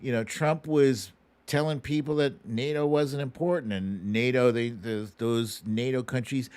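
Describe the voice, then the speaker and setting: A man's voice: medium pitch, fairly even intonation, measured pace, a little mellow, no echo. A middle-aged American man hosting a political livestream, talking casually in an informal, slangy, plain-spoken way and chatting with viewers. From United States. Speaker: host of a political commentary livestream. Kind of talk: casual livestream commentary, taking viewer questions.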